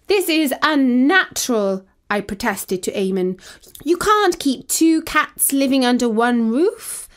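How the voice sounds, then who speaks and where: Woman doing a snooty magical cat telling a kids story, highish voice, super animated, dramatic. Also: slow. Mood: indignant. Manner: haughty, dramatic, emphatic. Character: proud, vain, indignant female magical Egyptian cat. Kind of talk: children's story narration in character.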